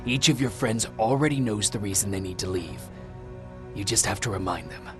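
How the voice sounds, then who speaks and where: Actor as a low-voiced, cryptic male stranger. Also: over music, close to an everyday voice. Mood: grave, cryptic. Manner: measured, cryptic, insistent. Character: mysterious male stranger, secretive and commanding. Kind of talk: video game cutscene acting.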